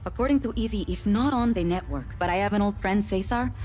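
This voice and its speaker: An actress playing an earnest, resolute rebel organiser in a video game, giving brisk, explanatory briefings. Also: female voice, mid-range voice, darkish tone, soft background music, close to an everyday voice. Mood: focused. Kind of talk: video game character acting.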